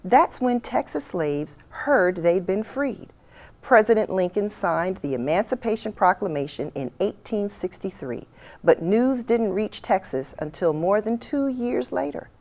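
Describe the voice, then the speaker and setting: A woman's voice: medium pitch, animated, measured pace, a little mellow. A woman voicing a kindly, folksy father who explains things in a children's storybook. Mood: explanatory.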